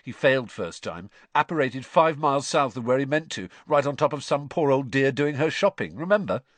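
A man's voice: lowish voice, lively intonation, normal speed, fairly bright tone, no room sound. A man voicing a cheeky, grumbling teenage wizard boy with a British accent in an audiobook.

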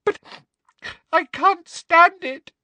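Reader playing teenage schoolgirl, storybook heroine, very high pitch, shrill, pleading, gulping, tearful. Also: extremely slow, bright, forceful tone, no room sound, highs cut off, exaggerated voice. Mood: sobbing, gulping.

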